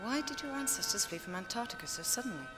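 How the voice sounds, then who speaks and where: A woman's voice: a little high, animated, quick pace, lightly acted. A woman playing a cool, fearless adventurer with a dry, sardonic manner in a video game. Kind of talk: video game cutscene acting. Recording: loud music under the voice.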